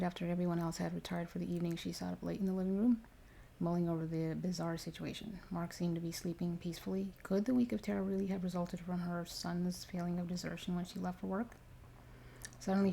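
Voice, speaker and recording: A woman reading a story aloud in ASMR style, soft-spoken and drifting into whispers. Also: lowish voice, creaky, slight background noise, low-quality recording. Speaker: reader of paranormal stories for ASMR.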